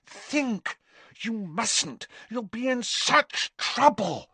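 A man's voice: very high-pitched, sing-song, drawn-out words, pushed and bright, breathy edge, no room sound, voice pushed up, heavily acted. A man voicing an anxious, sensible teenage girl who pleads urgently. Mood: pleading.

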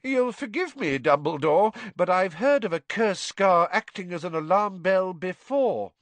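A man voicing a blustering, pompous middle-aged male politician who bursts out in shrill indignation, in a storybook reading. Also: expressive, unhurried, dry recording. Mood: defensive.

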